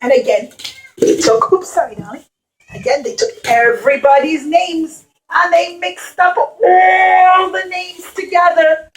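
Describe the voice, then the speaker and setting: High-pitched woman telling children a story. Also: measured pace, poor audio. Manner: expressive, simple teacherly phrasing with repetition and questions to children. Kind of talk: animated Bible storytelling to young children with a puppet show.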